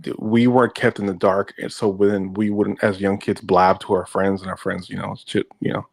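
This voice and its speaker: A man in his fifties from Venezuela, a native Spanish speaker, with a low, gravelly voice. He talks casually and rambles through his story with lots of fillers and restarts. The recording is dry and close. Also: level tone, normal speed, no room sound. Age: 50s. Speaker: podcast co-host.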